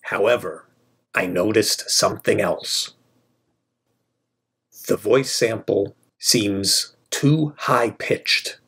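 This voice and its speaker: A man narrating a scripted video about a video game, in short, measured phrases. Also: on the low side, vocal fry, fairly bright tone, slightly rough.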